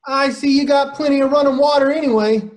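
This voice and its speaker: A man's voice: very high-pitched, steady tone, fairly quick. A man acts out a flashy young vaudevillian in a stage play reading. He is jovial and none too sensitive, tossing out slangy wisecracks with a theatrical swagger. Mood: wisecracking. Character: flashy young male vaudevillian, jovial, good-natured, insensitive joker. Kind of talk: play table read.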